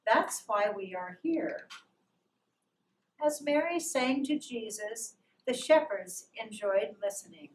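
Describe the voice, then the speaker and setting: A woman with a fairly high voice reads a devotional story slowly, phrase by measured phrase. She narrates as if for a church puppet show. The sound is roomy, picked up from a distance. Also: lively intonation. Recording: echoey room from far off, noisy.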